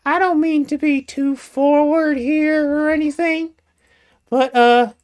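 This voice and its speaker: A woman's voice: high-pitched, fairly even intonation, takes it slowly, darkish tone, voice pushed up. Woman doing a coy genteel young lady. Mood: coy shy. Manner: old-fashioned genteel, hesitant and coy. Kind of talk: comic storytelling.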